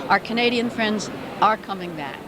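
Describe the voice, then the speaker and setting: An older woman promoting regional tourism, speaking with upbeat enthusiasm in a loud voice during an interview at a business expo. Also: medium pitch, lively intonation, normal speed, noisy background. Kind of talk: casual interview. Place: busy indoor business expo.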